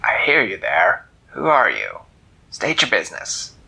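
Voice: low-pitched, huge pitch swings, measured pace, gravelly, bright, forceful tone, husky, full character voice. Storyteller voicing a grumpy ancient cat. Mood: gruff. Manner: curt, grumbling. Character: ancient gruff male cat, blind and grumpy.

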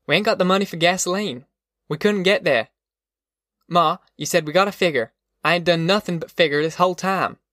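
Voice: medium pitch, fairly quick, fairly bright tone, no echo, studio quality, pitched above the performer's own voice, lightly acted. A narrator voicing a weary, sensible young farm man with plain rustic speech in an audiobook. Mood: reluctant. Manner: folksy rustic dialect, plain-spoken. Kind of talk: audiobook character voice.

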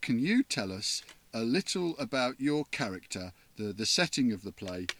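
A man's voice: medium pitch, sing-song, moderate pace, fairly bright tone, slight background noise. A man interviewing actors about a play, asking long, chatty questions.

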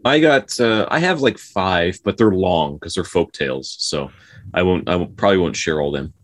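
A man with a low voice chats casually as a podcast co-host. His talk is conversational, and the recording is dry, with hardly any room sound. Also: normal speed, dry recording. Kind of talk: casual chat.

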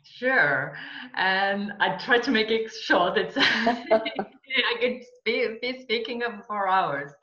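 Slow-talking Finnish woman in a roomy recording. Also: medium pitch, lively intonation, bright, forceful tone, highs cut off. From Finland. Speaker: interview guest, coach and mentor. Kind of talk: personal storytelling in an interview.

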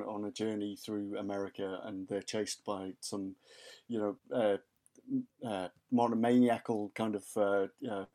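A man's voice: lowish voice, a little mellow. A man from West Wales, a university academic chatting as a guest in a casual interview, often restarting his sentences. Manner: hesitant, many restarts and fillers.

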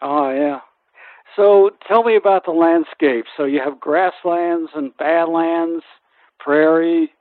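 Higher-voiced male interviewer, dry and band-limited. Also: steady tone, slow pace, dry recording. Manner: inquisitive, with frequent brief acknowledgements. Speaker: radio interviewer, host. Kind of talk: conversational interview questions. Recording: dry, narrow phone-like sound.

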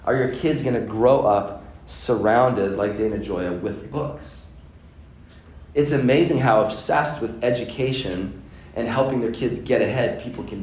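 A man's voice: medium pitch, darkish tone, a little hiss or hum. A middle-aged man giving an earnest seminar talk to parents, building to emphatic repetition. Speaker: seminar speaker on education. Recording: echoey room.

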